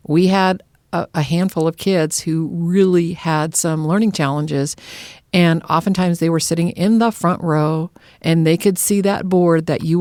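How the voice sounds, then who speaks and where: A woman's voice: on the low side, a little mellow, no room sound, studio quality. A woman co-hosting a podcast, agreeable and conversational as she expands on points. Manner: agreeable, elaborates on the other speaker's points. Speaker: podcast co-host.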